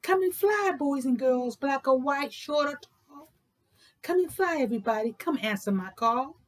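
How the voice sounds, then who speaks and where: A woman's voice: high voice, animated, normal speed, voice pushed up. A woman reader voicing a brave, eager young female aviator with rousing, declamatory calls. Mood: rousing, inviting.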